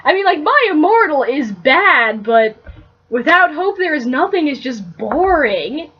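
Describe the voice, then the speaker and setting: A woman's voice: sits high, big pitch swings, a little slow. A woman co-host in casual comic banter, complaining in an exaggerated, exclamatory way, on a dull lo-fi recording. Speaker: podcast co-host.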